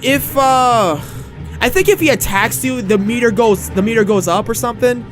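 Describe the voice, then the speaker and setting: A man hosting a casual game review podcast, rambling and tripping over his words, slightly hoarse from losing his voice. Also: very high-pitched, lively intonation, moderate pace, a bit bright, faint music behind. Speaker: podcast host.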